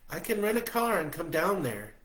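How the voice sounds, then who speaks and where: A man voicing a caring, anxious woman friend in a higher voice, fretful and insistent. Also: sing-song, normal speed, fairly bright tone, poor audio. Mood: concerned.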